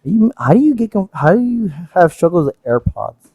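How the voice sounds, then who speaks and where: A man's voice: high-pitched, a little fast, dry recording, lo-fi sound. Profane joking podcast co-host man. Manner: profane, joking, animated banter. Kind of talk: casual chat.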